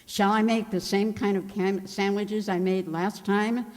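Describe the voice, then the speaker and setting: Woman acting unhurried, scatty landlady in echoey hall. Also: medium pitch, fairly even intonation, darkish tone, lightly acted. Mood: sweet, obliging. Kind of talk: amateur stage-style old radio comedy acting. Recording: echoey hall, long reverb.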